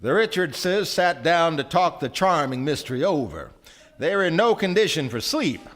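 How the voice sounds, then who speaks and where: An actor as storyteller, narrating a live radio drama with measured, theatrical phrasing. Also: male voice, fairly high pitch, sing-song, fairly bright tone. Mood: storytelling. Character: storyteller narrating a wry small-town tale. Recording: live stage recording before audience.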